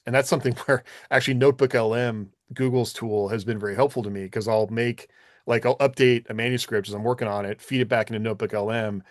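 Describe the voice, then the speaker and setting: Low, dry-recorded man chatting casually. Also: no room sound. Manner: loose, explanatory, peppered with "like" and "you know". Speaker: podcast co-host and writer. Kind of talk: casual conversational shop talk.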